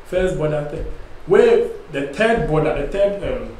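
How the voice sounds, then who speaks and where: A man's voice: on the high side, a little slow. Ghanaian man telling a road story. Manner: animated storyteller, acts out police voices. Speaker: podcast host telling a travel story. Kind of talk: casual storytelling in Pidgin-tinged English. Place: dark studio set. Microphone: boom-arm studio mic. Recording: echoey room with faint hum.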